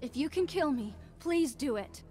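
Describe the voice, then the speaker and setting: A woman's voice: high-pitched, expressive, normal speed. A woman playing an innocent, frightened young woman in a video game, pleading politely over music. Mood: desperate pleading. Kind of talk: video game character dialogue. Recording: game clips under loud music.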